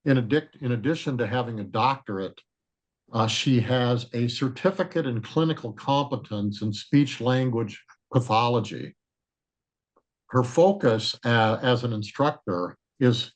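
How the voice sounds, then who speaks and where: A man with a loud, projecting voice introduces a guest speaker on a video call. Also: medium pitch, expressive, unhurried. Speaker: former president of the organization, introducing the speaker.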